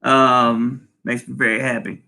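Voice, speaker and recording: Bright-voiced man rambling through live chat. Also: mid-range voice, little pitch movement, unhurried, vocal fry. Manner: rambling, lots of ums and restarts. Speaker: channel host. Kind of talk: casual livestream, reading viewer comments. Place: home in Thailand.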